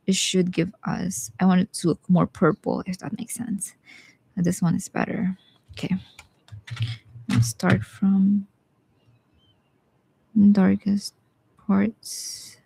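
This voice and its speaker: A young woman with a Bisaya Filipino accent who teaches watercolor live, talking casually and thinking aloud as she paints, with some quiet asides. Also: medium pitch, gravelly, slightly rough, low-quality recording. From Philippines. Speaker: watercolor artist streaming live. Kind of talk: live painting tutorial with chat replies.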